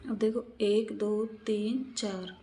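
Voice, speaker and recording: A woman's voice: medium pitch, steady tone, takes it slowly, mellow, slight background noise. A woman narrating a step-by-step craft tutorial, explaining in a repetitive, instructional way and counting aloud.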